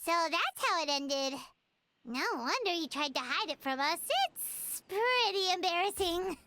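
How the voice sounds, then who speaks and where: A woman voicing a tiny, cheeky, childlike fairy companion in a video game, squeaky and chirpy. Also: expressive, unhurried, sharp tone, slight background noise, full character voice. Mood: wry. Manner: squeaky, chirpy, refers to herself by name. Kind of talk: scripted video game voice acting. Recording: music behind.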